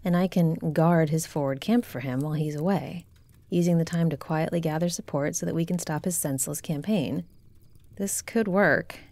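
Woman acting a deep-voiced, grave noblewoman. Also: a little mellow, dry recording. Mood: solemn resolve. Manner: formal, solemn, deliberate. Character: grave, dignified noblewoman, rebel daughter of a tyrant. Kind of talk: fantasy audio roleplay acting.

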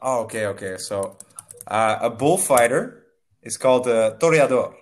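A young man tutoring English over a video call on a headset in a small room, friendly and slow, laughing often. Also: medium pitch, lively intonation. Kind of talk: casual video-call lesson.

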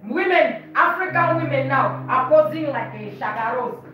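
A woman's voice: high voice, lively intonation, normal speed, soft background music. Fiery woman preaching at home. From Zambia. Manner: emphatic, repeats words, moves about while preaching. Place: living room of a house, indoors. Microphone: phone camera on a stand at a short distance. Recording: roomy, dull lo-fi phone audio.

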